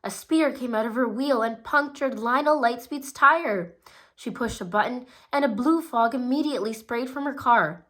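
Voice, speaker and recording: A woman reading a children's storybook aloud in a gentle, steady storytelling manner, with small slips now and then. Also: a little high, normal speed. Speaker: storybook reader. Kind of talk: children's read-aloud narration.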